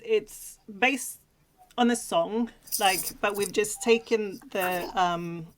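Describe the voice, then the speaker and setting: Female livestream co-host from Sweden, chatty and giggly, takes her time speaking. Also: medium pitch. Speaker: co-host of a livestream talk show. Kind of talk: casual livestream chat.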